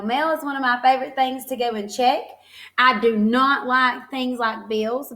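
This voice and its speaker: Female primary teacher, high-pitched voice, expressive children's read-aloud with simple explanatory asides. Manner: simple child-directed phrasing, expressive, encouraging. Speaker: teacher reading a picture book to young children.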